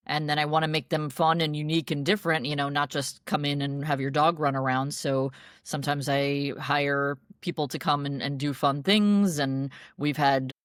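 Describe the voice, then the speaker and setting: A woman guest in a podcast interview, upbeat and expressive, with frequent restarts and laughs. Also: low voice, normal speed, no room sound, studio quality. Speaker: guest, dog meetup organizer. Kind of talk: casual interview chat.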